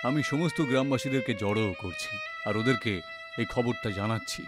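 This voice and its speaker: A man acts as a village headman in a cartoon tale, firm, responsible and insistent. His pitch is average. Loud music sits under the dialogue. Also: normal speed, close to an everyday voice. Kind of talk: animated moral story dialogue.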